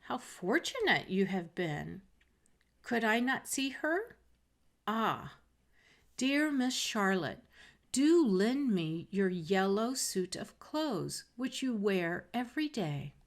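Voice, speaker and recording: A woman's voice: mid-range voice, very animated, takes it slowly. A woman voicing a sweet, coy young fairy-tale heroine who feigns innocence, polite and wistful. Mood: coy, pleading.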